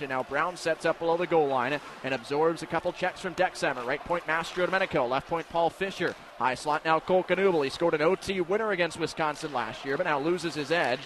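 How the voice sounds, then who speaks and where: A man doing live radio play-by-play commentary of a hockey game, describing the action in crisp detail. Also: fairly high pitch. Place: indoor ice hockey arena. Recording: noisy live arena broadcast. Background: loud arena noise.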